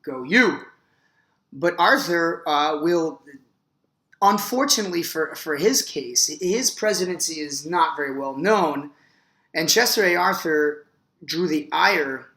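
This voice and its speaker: Hoarse male history teacher, bright forward voice, a bit higher than most men, recorded in an echoey room. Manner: chatty, frequent "uh" fillers, enthusiastic. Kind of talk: casual video lecture.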